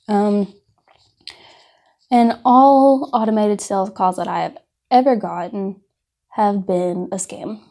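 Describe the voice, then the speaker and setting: A woman speaks with a soft-edged, mellow voice at an average pitch. She presents like an informal class instructor, explaining things conversationally and offering words of caution. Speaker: presenter of a scam awareness class.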